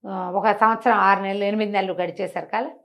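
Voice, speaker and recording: A woman's voice: medium pitch, steady tone, fast talker, a bit bright. A woman telling a story conversationally, in colloquial speech, posing rhetorical questions as she goes along.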